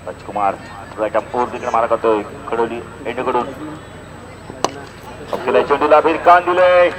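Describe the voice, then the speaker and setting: A man doing live cricket commentary, moving between measured announcing and excited calls. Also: mid-range voice, low-quality recording. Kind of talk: live local cricket tournament commentary in Marathi. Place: outdoors at a cricket ground. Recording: outdoor PA with crowd noise.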